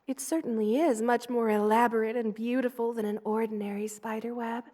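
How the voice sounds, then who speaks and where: A woman voicing a gentle, motherly spider queen in an ASMR roleplay, tender, cooing and soothing as she dotes on the listener. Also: on the high side, fairly even intonation, fairly quick, natural voice. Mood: playful, doting. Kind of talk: ASMR roleplay, personal attention.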